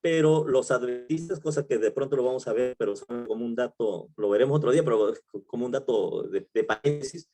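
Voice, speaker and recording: A man's voice: medium pitch, a little fast, hardly any pauses, warm tone. A middle-aged man with a Peruvian Spanish accent, teaching a Bible study in a conversational way, with many fillers, restarts and tag questions. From Peru. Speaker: pastor.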